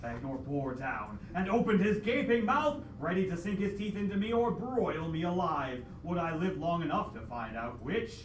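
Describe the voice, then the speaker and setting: A man's voice: on the high side, lively intonation, moderate pace, few pauses, lightly acted. A man playing a heroic adventurer who narrates his dragon quest as a solemn, melodramatic old-time radio play. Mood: desperate, triumphant. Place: live theatre stage with audience. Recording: echoey live hall, distant mic, noisy.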